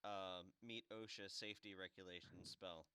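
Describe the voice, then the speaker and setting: A man's voice: low-pitched, fairly even intonation, moderate pace, pushed and bright, smooth, no echo. A man chatting casually as he plays a game, with a sarcastic, wry manner. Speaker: game player and commentator. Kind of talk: casual let's-play banter.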